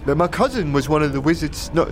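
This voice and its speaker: A man's voice: on the high side, expressive, fast, background noise, lightly acted. A man playing a scruffy drunken tavern regular in a fantasy audio drama, rambling and jittery with slurred, stammering, repetitive speech. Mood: tipsy, eager. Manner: slurred, stammering, repeats words.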